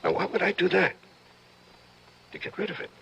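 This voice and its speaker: An actor playing a brooding, tormented writer and husband who may be a killer, in theatrical old-time radio drama style. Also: male voice, high-pitched, lively intonation, moderate pace, gravelly, pushed and bright, a little hiss or hum, full character voice, low-quality recording. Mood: darkly taunting.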